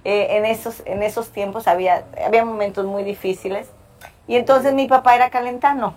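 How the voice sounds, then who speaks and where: A middle-aged woman speaks at an ordinary middle pitch as a guest at a breakfast table. She tells her story reflectively and pauses often on "eh.". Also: steady tone, darkish tone. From Mexico, Guerrero's Costa Chica. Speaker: senator, interview guest. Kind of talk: casual breakfast chat. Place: hotel restaurant table.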